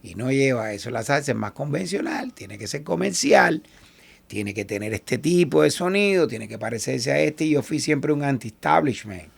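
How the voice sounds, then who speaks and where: A Cuban man with a Cuban Spanish accent, a salsa singer being interviewed. His voice is gravelly and sits at an ordinary middle pitch. He tells his stories with animation and keeps acting out what other people said. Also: normal speed. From Cuba. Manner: animated, quotes others in lively asides. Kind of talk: casual interview storytelling.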